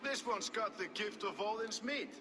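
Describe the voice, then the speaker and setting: A man playing a salty, wry medieval warrior in a game cutscene, talking in an animated, colloquial way. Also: high voice, normal speed, noisy background, natural voice. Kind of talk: dramatic video game cutscene acting.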